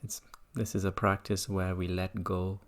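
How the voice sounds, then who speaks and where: A meditation teacher gently guiding listeners through a practice in an unhurried way. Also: deep voice, level tone. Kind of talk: guided meditation talk.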